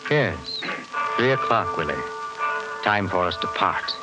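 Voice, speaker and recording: An actor playing a calm, sinister killer who speaks smoothly and with quiet menace in an old radio drama. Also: male voice, deep voice, a little slow, poor audio. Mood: menacing. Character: calm sinister male killer revealing himself. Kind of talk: 1940s radio acting. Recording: old radio recording with music.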